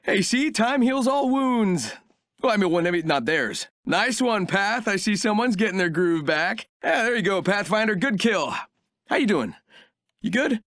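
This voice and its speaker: A man's voice: high voice, expressive, unhurried, a bit bright, full character voice. An actor voicing a friendly, wisecracking man in a video game, upbeat and encouraging in a jokey way. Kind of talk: game voice lines.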